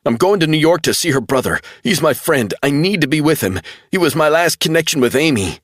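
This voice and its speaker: A man voicing a grief-stricken young man who pleads through sobs, high-strung and scared. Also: lively intonation, brisk, no echo, studio quality. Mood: distraught pleading. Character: distraught young man, grieving hacker.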